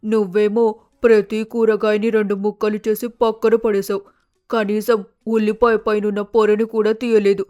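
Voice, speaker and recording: A woman's voice: fairly high pitch, darkish tone, lightly acted. A woman voicing a stern, practical mother bird in a cartoon moral story, nagging and scolding in a matter-of-fact way. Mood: exasperated.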